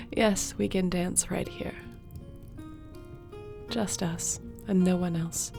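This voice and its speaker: A devoted court sorceress voiced by a woman who talks slowly and softly, her voice carrying a gravelly edge. Her measured lines sound like a fantasy audio drama, with faint music beneath. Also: fairly low pitch, fairly even intonation, heavily acted. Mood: tender. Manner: soft, measured, laughs easily. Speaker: court mage. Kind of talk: audio roleplay acting.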